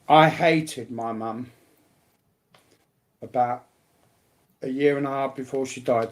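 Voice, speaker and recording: A man's voice: mid-range voice, darkish tone. A man talking personally and bluntly, repeating phrases to drive his points home. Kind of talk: emphatic personal talk, partly confrontational.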